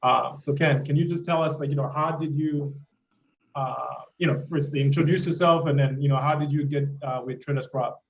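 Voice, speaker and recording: A man's voice: a little high, fairly even intonation, moderate pace, creaky. A man hosting an interview over a video call, rambling with plenty of "you know" and "uh". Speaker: host. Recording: dull call audio with faint hiss.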